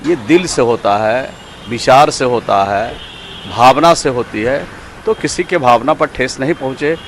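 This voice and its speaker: A man making measured, spontaneous remarks as an interviewee outdoors. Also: mid-range voice. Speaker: interviewee giving a press comment. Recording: faint outdoor street noise.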